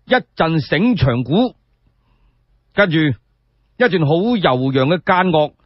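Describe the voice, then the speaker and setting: Animated husky Cantonese storyteller, a man. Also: a little high, no room sound. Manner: animated, colloquial, drawn-out phrasing with rhetorical questions. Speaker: solo storyteller. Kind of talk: dramatic traditional radio storytelling. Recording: dull lo-fi sound.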